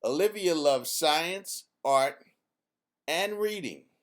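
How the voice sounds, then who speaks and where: An author reading a children's book aloud as a narrator, in a deliberate, measured way. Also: mid-range voice, expressive. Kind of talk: scripted storybook narration read aloud.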